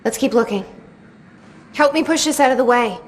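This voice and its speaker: A woman's voice: a little high, expressive, normal speed, slight background noise, natural voice. An actress playing a tough, cautious and skeptical American woman, perhaps in her thirties, in a naturalistic video game style. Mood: troubled, puzzled.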